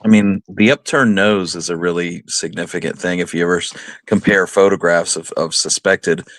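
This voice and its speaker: Deep voiced guy co-hosting radio, just chatting n asking stuff, dry close sound. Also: fairly even intonation, normal speed, fairly bright tone, no room sound. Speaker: radio show co-host. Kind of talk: casual chat, questions and commentary.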